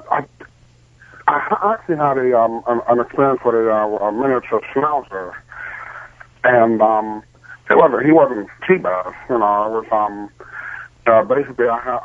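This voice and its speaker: A man's voice: medium pitch, moderate pace, dry recording. A man calling in to a radio show, asking his question hesitantly with many ums and restarts. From Pennsylvania, US. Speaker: caller. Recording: tinny phone line, dry.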